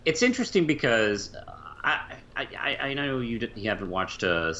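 A man co-hosting a podcast in a casual fan discussion, speaking haltingly and often repeating words as he goes. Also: very animated, pushed and bright. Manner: halting, trailing off mid-phrase and repeating words. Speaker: podcast co-host. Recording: muffled low-fi mic with faint hiss.

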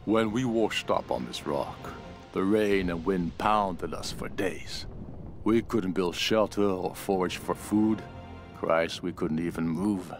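Slow, grim male castaway cultist. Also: sits low, gravelly, full character voice. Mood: grim, reverent. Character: grim, awed castaway turned devoted cult follower. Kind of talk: dramatic journal narration. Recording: music behind the voice.

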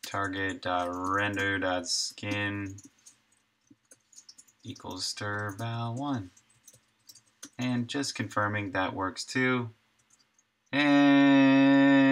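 A man's voice: fairly low pitch, fairly bright tone, smooth. A middle-aged man thinking aloud in short, laid-back fragments as he live-streams his coding work. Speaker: game developer and live streamer. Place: indoor room at a desk, streaming while coding.

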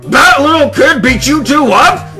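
A man reading a story aloud, voicing a loud, brash male fire clan leader who bellows and taunts. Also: very high voice, animated, unhurried, soft background music, voice pushed up, exaggerated voice. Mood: bellowing.

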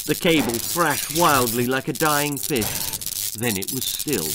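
A man in his sixties reading a children's story dramatically, with an expressive, measured, suspenseful delivery. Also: mid-range voice, noisy background. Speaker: author reading own story. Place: small book-lined room at home. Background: music.

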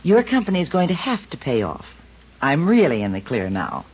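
An actress playing a scheming young widow in a 1950s radio drama, taunting and then flustered. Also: female voice, low voice, huge pitch swings, fairly quick, darkish tone, husky, full character voice. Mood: smug. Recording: dull old radio recording.

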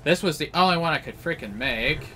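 A man's voice: on the high side, expressive, fairly quick, bright, forceful tone, a little hiss or hum. A man giving casual live commentary as host of a gaming video.

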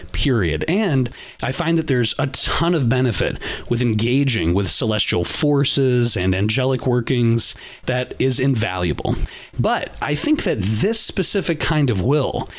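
Guy reading his podcast script, earnest and emphatic, mid pitch. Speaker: podcast host.

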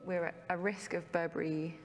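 A woman hosting a studio panel discussion, leading the guests with quick questions. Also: fairly low pitch, level tone, long reverb tail, slight background noise. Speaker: panel host.

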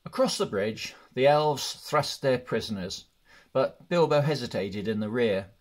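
Male storybook narrator, mid-range pitch, slow and deliberate read. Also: expressive. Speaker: audiobook reader.

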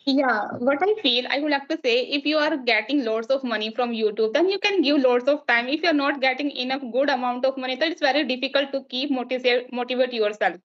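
A woman's voice: high-pitched, fairly quick, hardly any pauses. A woman in her thirties with an Indian accent and Hindi as her first language, chatting as a guest on a video call with frequent restarts. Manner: chatty, frequent restarts, laughs between points. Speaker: guest teacher. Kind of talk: casual video-call conversation. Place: small room indoors. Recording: dull lo-fi call audio.

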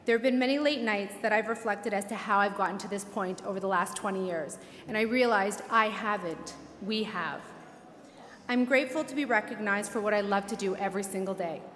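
A woman in her forties who owns a clinic, giving an earnest, grateful acceptance speech at a podium in a large banquet hall. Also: mid-range voice. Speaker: award winner, clinic owner. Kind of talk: acceptance speech and red-carpet interview. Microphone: podium microphones. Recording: echoey banquet hall with music.

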